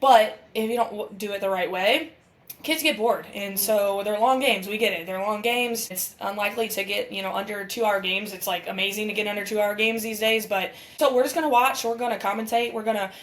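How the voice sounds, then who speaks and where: A woman in her thirties with a raspy voice, co-hosting and giving casual live sports commentary in an enthusiastic, candid way. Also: mid-range voice, a little fast. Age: 30s. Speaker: podcast co-host and amateur sports commentator. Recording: roomy echo, distant from mic.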